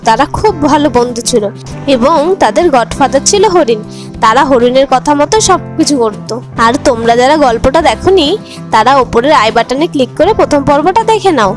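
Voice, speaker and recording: A woman narrating a children's moral tale as its storyteller. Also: high-pitched.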